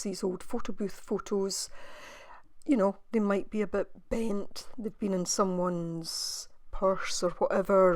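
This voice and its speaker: A woman with a slightly croaky voice, musing softly as she narrates her crafting in a voice-over. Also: mid-range voice, no echo. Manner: soft, musing, thinking aloud with pauses. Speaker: craft video maker.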